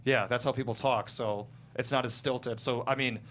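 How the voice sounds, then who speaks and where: A man speaks as a guest screenwriter in loose, casual conversation. His delivery rambles and is modest about himself, at an ordinary pitch, with some noise behind him. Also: fairly even intonation, moderate pace, a bit bright. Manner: rambling, self-deprecating.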